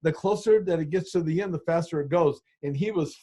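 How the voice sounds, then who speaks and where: A man's voice: a little high, fairly quick, mellow. A man in his sixties hosting a podcast interview, blunt and rambling, swearing freely in casual chat. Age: 60s. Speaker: podcast host.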